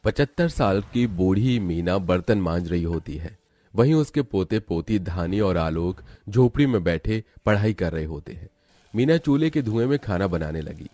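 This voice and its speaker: A man's voice: deep voice, lively intonation, moderate pace, dark tone, dry recording. A man as narrator, reading a scripted cartoon story.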